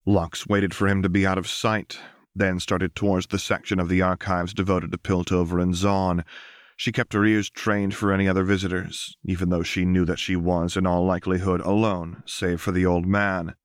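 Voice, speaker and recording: A man's voice: low voice, little pitch movement, moderate pace, no room sound, clean studio sound. A man reading a fantasy story as an audiobook narrator, in scripted narration.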